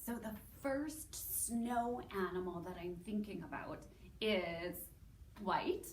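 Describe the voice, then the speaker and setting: Slow-paced Canadian woman, children's presenter. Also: medium pitch. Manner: animated, simple drawn-out wording with guessing questions. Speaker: children's library story time host. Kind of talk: educational presentation for young children. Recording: roomy, distant mic with background noise.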